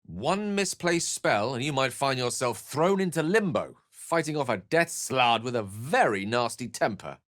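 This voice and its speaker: A man's voice: mid-range voice, animated, a little slow, few pauses, a bit bright, no room sound, studio quality. An actor playing an erudite, witty and self-assured wizard in a video game, eloquent and theatrical in his wordy delivery. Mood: measured, scholarly. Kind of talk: video game character acting.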